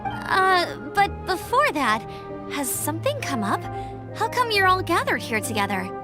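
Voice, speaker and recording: A bright-spirited young female scout from a fantasy game, talks in a high voice with lively swings. She is eager, friendly and helpful, with music behind her. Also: heavily acted. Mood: cheerful, helpful. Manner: bubbly, brisk, friendly. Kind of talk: video game voice acting.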